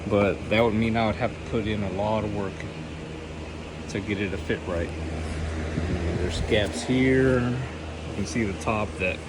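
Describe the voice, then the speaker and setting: A man's voice: on the low side, a little slow, pauses often, heavy background noise. A man giving a casual, unscripted DIY build walkthrough in a laid-back, plain-spoken, sweary way. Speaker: host of a DIY car build channel. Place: garage workshop.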